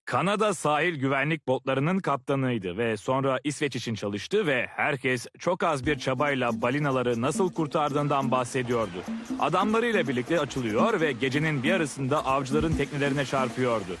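An actor playing a mischievous, excitable boy, eager for adventure, in a dubbed cartoon. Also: mid-range voice, normal speed. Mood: eager, amused. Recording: music behind the voice.

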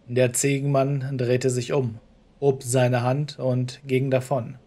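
Slow-paced male story narrator. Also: medium pitch, level tone, warm tone. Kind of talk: scripted horror-fiction narration. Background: music.

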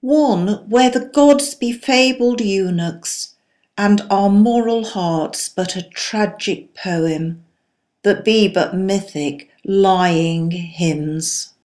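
A woman reciting poetry in a solemn, deliberate, dramatic and declamatory manner. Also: medium pitch, a little mellow. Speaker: poetry reader.

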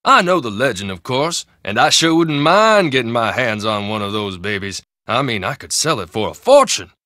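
A man's voice: mid-range voice, sing-song, moderate pace, bright, forceful tone, dry recording, clean studio sound. An actor playing a folksy, talkative male shop owner in a video game, with easy salesman patter. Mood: chatty. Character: chatty male shop owner, friendly hustler. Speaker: game character.

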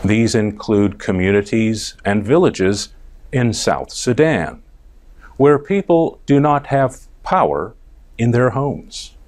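A man's voice: lowish voice, darkish tone. A middle-aged American man presenting a scripted news report for English learners, enunciating carefully phrase by phrase. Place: TV studio.

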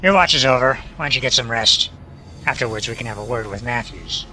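A man playing a gruff, sceptical spaceship crewman in a sci-fi audio drama. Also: mid-range voice, brisk, sharp tone, soft background music, rough recording. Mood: doubtful.

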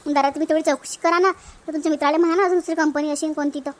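A woman's voice: high-pitched, fairly even intonation, racing, lightly acted. A woman voicing an anxious, pleading young sister-in-law in a cartoon family drama, with a Vidarbha accent. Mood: worried, pleading. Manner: pleading, insistent.

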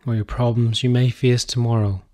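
Male meditation guide, mid-pitched voice, gentle instructional delivery, short phrases with space between them. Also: flat delivery, a little fast.

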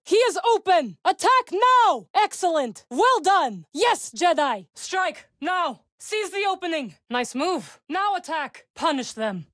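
A woman's voice: very high-pitched, a bit bright, no room sound, clean studio sound, heavily acted. A woman voicing a fierce young witch warrior in a video game, a loyal battle companion calling out terse, clipped, commanding lines. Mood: urgent, intense, then terse, watchful. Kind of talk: video game combat barks.

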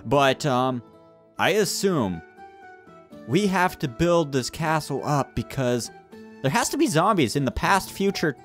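A young man chatting casually and excitably with friends as he hosts a gameplay video. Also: fairly high pitch, unhurried. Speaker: gaming video host. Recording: game music behind the voice.

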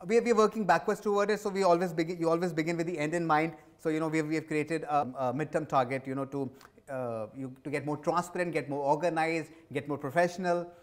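Fast-talking Indian man, higher-pitched voice. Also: fairly even intonation. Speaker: business executive being interviewed. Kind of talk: conversational interview answers. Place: indoor office lounge set. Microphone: lapel mic.

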